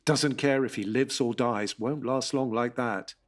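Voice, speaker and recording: A man's voice: medium pitch, unhurried. A man giving scripted dramatic narration in an intense, rhythmic way. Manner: intense, rhythmic, second-person at times.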